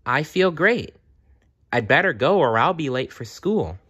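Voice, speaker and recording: A man's voice: fairly high pitch, sing-song, a little slow, pushed and bright, breathy edge, pitched above the performer's own voice, exaggerated voice. A man voicing a stubborn young schoolgirl with a sore throat in a storybook, insistent in manner. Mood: falsely bright.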